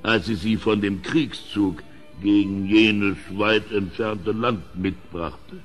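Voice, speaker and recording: A man's voice: fairly low pitch, steady tone, takes it slowly, faint music behind. An actor playing a cruel, drunken king in a German audio drama, domineering and mocking as he commands. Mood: merry. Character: cruel, drunken, bullying king, given to loud mirth.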